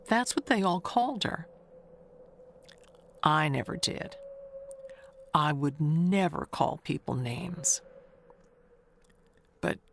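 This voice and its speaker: Woman acting older female neighbor for audio mystery: unhurried, rambling, coy and wistful gossip; noticeable background noise. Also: on the low side. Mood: confiding, gossipy. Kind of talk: dramatic monologue in an audio mystery tale.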